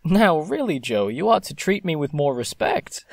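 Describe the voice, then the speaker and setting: An actor playing a playful, proud young newlywed gentleman in an audio drama, with wry formality. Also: on the high side, big pitch swings, moderate pace, vocal fry, no room sound, clean studio sound, exaggerated voice.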